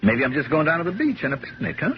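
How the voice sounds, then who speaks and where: An actor playing an easygoing, kindhearted police lieutenant in a radio drama, with a folksy, wisecracking way of talking. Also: male voice, fairly high pitch, very animated, very fast. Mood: wry, teasing. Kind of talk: 1970s radio drama acting. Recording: dull, noisy 1970s radio broadcast recording.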